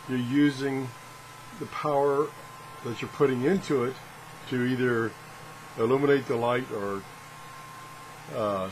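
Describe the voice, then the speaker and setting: A man's voice: medium pitch, a little hiss or hum, rough recording. A man giving a casual, unscripted product demonstration, rambling and thinking aloud in a good-humored, self-deprecating way. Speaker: host of a radio product test video.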